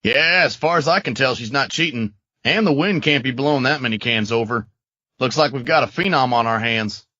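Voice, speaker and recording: A man's voice: mid-range voice, brisk, sharp tone, no room sound, dull audio. A man playing a confident, encouraging firearms instructor among survivors in an amateur comic dub. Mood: casual.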